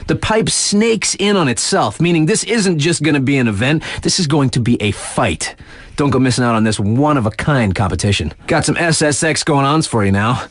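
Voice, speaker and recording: A man's voice: medium pitch, expressive, normal speed, no room sound, lightly acted. An actor playing a laid-back, hip male radio DJ in a video game, delivering scripted snowboard news with casual, slangy asides. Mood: cool hype. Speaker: radio DJ announcer.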